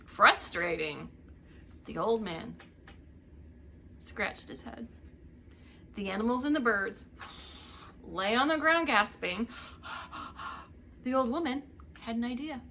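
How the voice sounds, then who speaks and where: A woman's voice: on the high side, expressive, slow pace, pauses often, bright, forceful tone, slight background noise. A woman reading a story aloud to young children like a preschool teacher, theatrically drawing out the action words and talking to her listeners.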